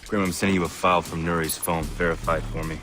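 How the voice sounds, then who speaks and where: An actor playing a gruff, terse, middle-aged team leader of covert operatives in a dramatic video game. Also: male voice, sits low, flat delivery, fast talker, music in the background, lightly acted. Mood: cold, menacing. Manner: terse, commanding. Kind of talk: dramatic game cutscene acting.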